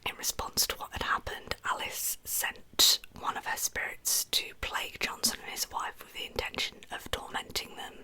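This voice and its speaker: A woman narrating in a breathy, unvoiced whisper as an ASMR storyteller, with chatty, informal asides. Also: moderate pace, bright, forceful tone, poor audio. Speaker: host of a true crime ASMR channel.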